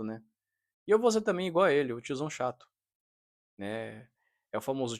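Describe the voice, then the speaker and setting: A man, talking earnestly to camera in a casual vlog and confiding in his viewers. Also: medium pitch. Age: 30s. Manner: earnest, confiding, frequent "né?" tags. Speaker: vlogger, fitness trainer.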